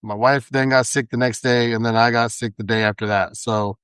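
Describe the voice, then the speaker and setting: A man co-hosting a casual podcast, easygoing and agreeable, his voice raspy and congested from a recent cold. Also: medium pitch, steady tone, fairly quick, a bit bright, no room sound, clean studio sound. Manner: agreeable, easygoing, filler-heavy. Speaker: podcast co-host.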